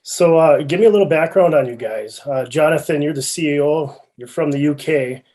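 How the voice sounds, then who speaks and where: A man's voice: on the high side, brisk, dark tone. A man with a Wisconsin accent co-hosting an interview in casual, conversational talk. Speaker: interview co-host.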